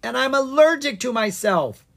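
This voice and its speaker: A reader doing a squeaky young dragon boy. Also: animated, moderate pace, gravelly, fairly bright tone, heavily acted.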